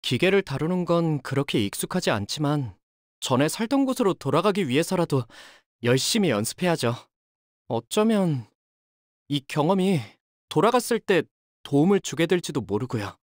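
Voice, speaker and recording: A man's voice: a little high, very animated, normal speed, no echo, studio quality. Boyish, earnest young repairman. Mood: polite, earnest. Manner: boyish, polite, hesitant. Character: earnest, polite young boat repairman, modest and easily flustered. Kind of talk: video game character voice lines.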